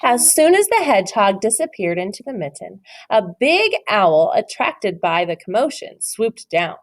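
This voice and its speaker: A woman reads a picture book to young children in a very lively, sing-song voice that swoops up and down. Her pitch sits in a middling range. She keeps stopping to ask encouraging questions about the pictures. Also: normal speed. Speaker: teacher reading aloud. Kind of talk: children's read-aloud.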